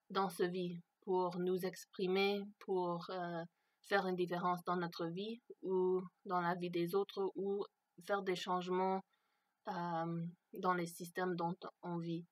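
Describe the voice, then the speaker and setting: A woman for whom French is not her first language, speaking unscripted to camera, hesitant and searching for words with many fillers. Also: fairly low pitch. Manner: slow, thoughtful. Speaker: vlogger. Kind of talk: unscripted personal talk to camera.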